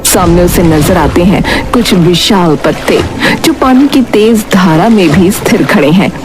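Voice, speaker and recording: A woman's voice: fairly low pitch, lively intonation, unhurried. A woman giving scripted, dramatic narration of a story. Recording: prominent background music. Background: music.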